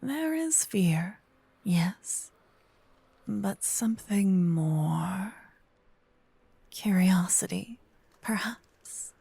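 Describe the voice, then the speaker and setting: A woman voicing a gentle, possessive old female vampire in an ASMR roleplay, murmuring and whispering close to the microphone. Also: medium pitch, lively intonation, no room sound, heavily acted. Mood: seductive, musing. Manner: breathy, slow whispering and murmuring. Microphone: close binaural microphone.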